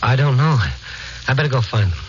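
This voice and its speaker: An actor playing an inquisitive, kindly night-beat reporter in a radio drama, moving between brooding narration and hesitant, soothing dialogue. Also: male voice, mid-range voice, very animated, fast, slight background noise, natural voice. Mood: careful, probing. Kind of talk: 1950s radio drama acting and first-person noir narration. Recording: muffled 1950s radio recording.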